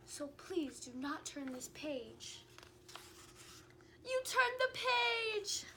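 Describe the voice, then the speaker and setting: A woman's voice: sits high, lively intonation, unhurried, bright, forceful tone, slight background noise, exaggerated voice. A woman voicing an anxious, pleading furry male monster in a children's book reading. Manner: frantic pleading storybook reading. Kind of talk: children's book read-aloud.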